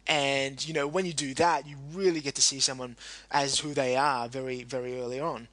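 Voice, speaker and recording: A man hosting a conversational interview, agreeable and quick to back up his guest. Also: medium pitch, steady tone, fairly bright tone. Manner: agreeable, frequent backchannels, laughs easily.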